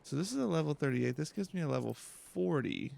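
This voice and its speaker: A man giving casual, low-key video game commentary, musing aloud to himself as he plays. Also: animated, fairly quick, vocal fry, a little mellow. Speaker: let's play streamer.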